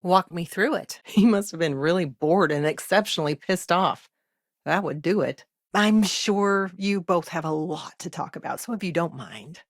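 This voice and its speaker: An earnest, dry-witted woman lawyer from a frontier settlement. Her voice sits slightly low, and she talks in a measured, naturalistic, matter-of-fact way. The sound is very dry, with no room on it. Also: no room sound. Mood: weary, wry. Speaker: video game character voice. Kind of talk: naturalistic video game dialogue acting.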